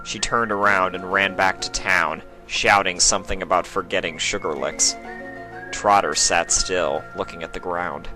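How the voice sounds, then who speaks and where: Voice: sits low, steady tone, unhurried, sharp tone, faint music behind. A fan fiction reader narrating a story in a dramatised, scripted storytelling style.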